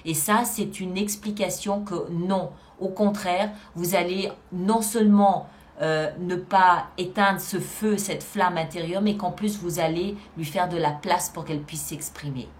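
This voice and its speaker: A woman's voice: lowish voice, steady tone, unhurried. A woman hosting a tarot reading, explaining the cards to viewers in an emphatic way, often repeating phrases to drive a point home. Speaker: tarot reader and channel host. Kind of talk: spoken tarot card reading addressed to viewers.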